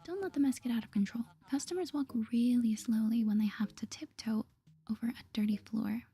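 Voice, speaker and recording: A woman voicing a sweet, apologetic, helpful young shop colleague in a cozy video game, light, cutesy and breathy. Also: on the high side, a little fast, dark tone. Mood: helpful, upbeat.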